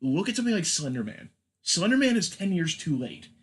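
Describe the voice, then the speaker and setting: A man's voice: high-pitched, very animated, brisk. A man in his thirties co-hosting a podcast, talking casually and steering the discussion along. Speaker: podcast co-host.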